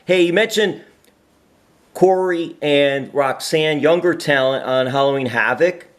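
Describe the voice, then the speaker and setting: A man's voice: on the high side, measured pace. A male journalist putting a question during a press conference call.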